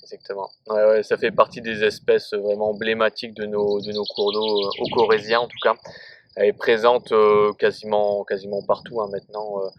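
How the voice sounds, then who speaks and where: Low-voiced man, hesitant, outdoors. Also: little pitch movement, a little fast, lo-fi sound, a little hiss or hum. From northern France. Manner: hesitant, many restarts and fillers. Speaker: wildlife photography guide. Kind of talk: casual outdoor interview. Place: outdoors at a scenic overlook.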